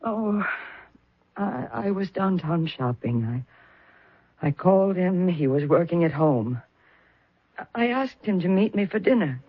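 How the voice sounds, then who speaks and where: Guarded, easily indignant widow for vintage radio mystery: raspy, formal, dramatic, dry muffled broadcast. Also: female voice, fairly low pitch, lively intonation, measured pace, pauses often, no room sound. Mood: confiding. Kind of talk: old radio melodrama acting.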